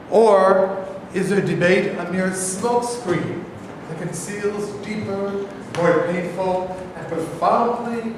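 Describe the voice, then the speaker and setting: Guy doing a declamatory play intro, kinda high voice, slow, noisy big room. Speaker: narrator. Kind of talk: scripted theatrical introduction. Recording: reverberant hall, distant mic, noisy.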